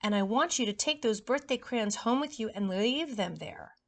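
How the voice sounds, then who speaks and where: A woman's voice: fairly high pitch, lively intonation, moderate pace. Woman reading as a strict first grade teacher. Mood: stern. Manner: firm, instructing, emphatic.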